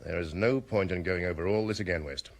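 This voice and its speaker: A curt, by-the-book superintendent. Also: male voice, sits low, brisk. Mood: reproving. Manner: curt and reproving. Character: stiff, sarcastic police superintendent, by-the-book. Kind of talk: vintage radio drama acting.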